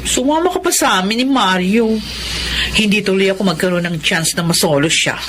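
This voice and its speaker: A woman's voice: mid-range voice, fairly quick, background noise, close to an everyday voice. An actress playing a flirtatious, persistent woman who sells flowers in a Filipino radio drama, coaxing and a little sulky.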